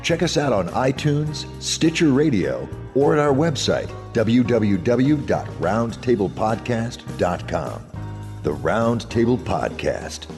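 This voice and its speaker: A man's voice: mid-range voice, big pitch swings, slow, a little mellow. A man announcing a scripted podcast promo. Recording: reverberant promo with music behind. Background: music.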